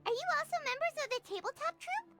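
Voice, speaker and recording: A tiny, excitable fairy sidekick chatters eagerly in a very high, squeaky voice. Her pitch bounces all over as she talks about herself by name. Loud game music plays underneath. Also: fast. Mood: excited. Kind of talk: video game dialogue.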